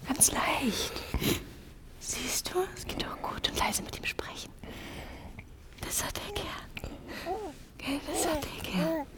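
A woman playing an emotional young German mother in a radio drama, speaking in a breathy, intimate way. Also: sits high, takes it slowly, long pauses, whispered. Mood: tender, hushed. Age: young adult. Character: young German woman, daughter, prisoner and tender new mother.

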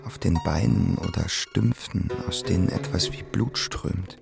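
An actor playing a brooding young poet thinking aloud in a radio play, musing in terse, yearning fragments with a creaky, gravelly voice. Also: male voice, low-pitched, a little slow, full character voice. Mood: awed, brooding. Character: brooding young male poet, inner monologue, awed and yearning. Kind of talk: radio play inner monologue. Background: music.

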